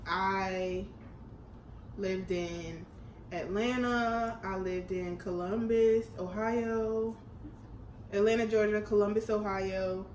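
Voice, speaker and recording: A young woman in her twenties from Houston, talking in a relaxed, chatty way and laughing easily. Her mid-height voice sits in a roomy, echoey space. A faint hiss runs underneath. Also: medium pitch. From Houston, Texas, US. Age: 20s. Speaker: YouTube co-host. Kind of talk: casual chat during a mukbang Q&A. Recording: echoey room with faint noise.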